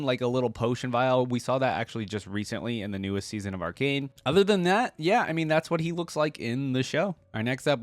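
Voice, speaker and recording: A man hosting a reaction video, commenting casually in an expressive, animated way with frequent exclamations. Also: medium pitch, no echo.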